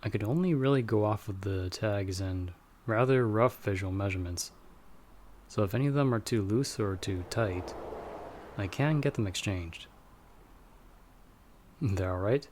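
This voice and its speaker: A man playing a dry, guarded but protective vampire hunter in an ASMR audio roleplay, speaking in a measured, wry way. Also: fairly low pitch, a little mellow, a little hiss or hum, close to an everyday voice. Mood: casual, attentive. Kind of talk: ASMR audio roleplay, scripted monologue to listener.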